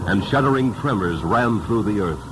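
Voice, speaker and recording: A man reading a story as a dramatic narrator, solemn, reverent and measured. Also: fairly low pitch, lively intonation, background noise. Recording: music under the voice. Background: music.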